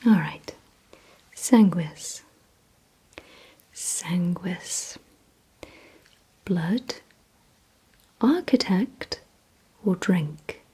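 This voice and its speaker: A woman whispering breathily in an ASMR roleplay, giving instructions deliberately and repeating words with pauses, close to the microphone. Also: on the low side, expressive, mellow, low-quality recording. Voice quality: breathy whisper, mostly unvoiced. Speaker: ASMR creator leading a word-guessing game.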